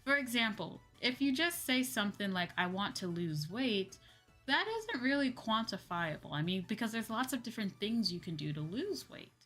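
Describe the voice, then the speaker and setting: A woman's voice: a little high, very animated, moderate pace, bright, forceful tone. A woman hosting a livestream, chatting casually in a hesitant way and often repeating her phrases. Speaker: livestream host. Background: background music.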